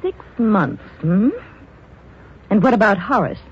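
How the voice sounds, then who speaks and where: Haughty fed-up wife, cold and cutting, very animated pitch, radio melodrama, dull old recording. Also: female voice, slow, husky, exaggerated voice. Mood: cold, grudging. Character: cold, scornful wife, weary of poverty. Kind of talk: 1940s radio melodrama acting.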